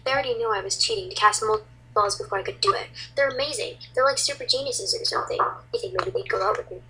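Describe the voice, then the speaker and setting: A woman's voice: a little high, rapid-fire, noisy background, close to an everyday voice. A woman voicing a chatty, admiring and boastful gamer girl in an anime fandub. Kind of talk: anime fandub script reading.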